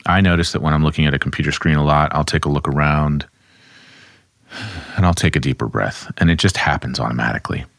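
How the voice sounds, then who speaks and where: A man, a somatic therapist, calmly explaining and demonstrating grounding exercises at his desk. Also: extremely low pitch, fast talker, creaky, dry recording, clean studio sound. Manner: calm, instructive, speaks while demonstrating.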